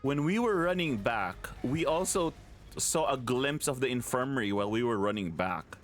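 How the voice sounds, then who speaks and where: Guy streaming a game, wry muttering to himself, pitch moves a lot, faint music behind. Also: lively intonation, normal speed. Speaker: let's play streamer. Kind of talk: casual game commentary, thinking aloud.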